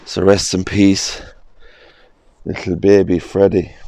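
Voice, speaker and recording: A hoarse man with an Irish accent, casually vlogging as he walks an old cemetery and reads headstones aloud in a gentle, unhurried way. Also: low voice, flat delivery, dry recording. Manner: gentle, unhurried, with frequent "uh" pauses. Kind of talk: casual walking vlog, reading headstones aloud.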